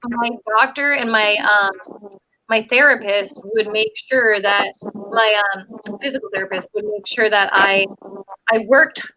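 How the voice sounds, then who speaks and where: A woman's voice: mid-range voice, a little slow, pushed and bright, dry recording, low-quality recording. A young woman in her early twenties, a drummer chatting on a video call in an upbeat, encouraging way. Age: early 20s. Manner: upbeat, encouraging, laughs easily. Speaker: drummer in an online chat. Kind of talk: casual chat.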